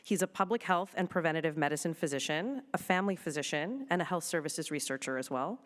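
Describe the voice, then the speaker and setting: A woman moderating a conference session, summing up talks and introducing the next speaker in a measured, orderly way. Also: sits low, lively intonation.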